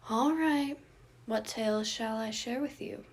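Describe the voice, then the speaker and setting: A woman's voice: fairly high pitch, voice pushed up, close to an everyday voice. Woman as a composed, wry older male guardian. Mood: teasing. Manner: formal, measured, teasing.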